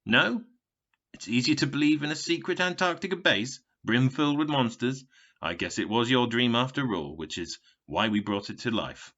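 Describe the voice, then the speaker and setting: A man's voice: medium pitch, animated, normal speed, a bit bright, lo-fi sound. A man playing a smug, swooping TV game show announcer. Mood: slick, teasing. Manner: glib, theatrical, condescending. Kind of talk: showy TV presenter patter in audio drama.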